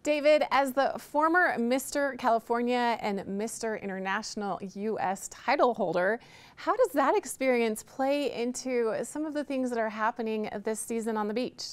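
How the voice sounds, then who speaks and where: A woman's voice: on the high side, moderate pace, smooth. A woman interviewer reading polished, friendly questions on a studio set.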